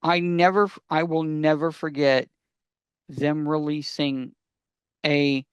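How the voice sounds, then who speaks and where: A man with a somewhat high-pitched voice talks as a podcast guest. The recording is close and dry. His delivery is casual and emphatic, built from short phrases that he restates. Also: level tone, takes it slowly, clean tone, no echo. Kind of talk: casual chat.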